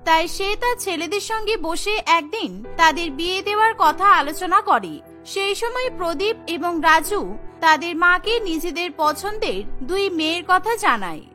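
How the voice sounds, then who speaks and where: A woman narrates a cartoon tale in scripted storybook style. Her voice is extremely high and bright, and soft music plays behind her. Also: expressive, moderate pace.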